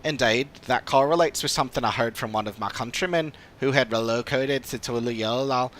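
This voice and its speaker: A man voicing a courteous, scholarly old father in a fantasy game, speaking in a formal, measured, old-fashioned way. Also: medium pitch, fairly bright tone, a little hiss or hum, close to an everyday voice. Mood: thoughtful. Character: courteous old father, scholarly theorist recovering from long illness. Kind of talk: fantasy game dialogue read aloud.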